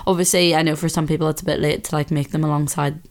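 A woman in her twenties from the UK with a slightly husky voice, hosting a podcast in relaxed casual chat from her bedroom. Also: sits low, quick pace. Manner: chatty, relaxed, lots of fillers. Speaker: podcast host. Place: her bedroom, sitting on bed.

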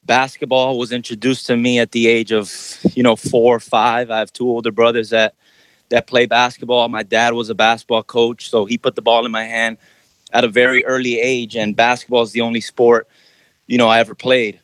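A man's voice: mid-range voice, level tone, moderate pace, fairly bright tone, dry recording, poor audio. Dry-sounding man telling his story. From Miami, Florida. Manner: conversational, frequent "you know" fillers. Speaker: basketball trainer. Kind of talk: casual podcast interview.

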